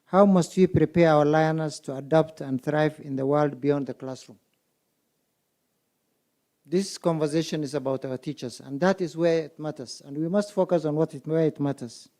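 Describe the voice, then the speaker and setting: Mellow-voiced man quizzing a conference crowd. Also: a little high. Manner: poses rapid rhetorical questions to the audience. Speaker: conference moderator. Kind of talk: public speaking at a symposium. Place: conference hall.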